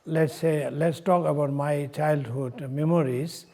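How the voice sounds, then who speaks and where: Halting older man, slow and mellow. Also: fairly high pitch, steady tone. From Nepal. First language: not English. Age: 60s. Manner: halting, searching for words, frequent pauses. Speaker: Buddhist monk in charge of a monastery. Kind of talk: unscripted explanatory talk.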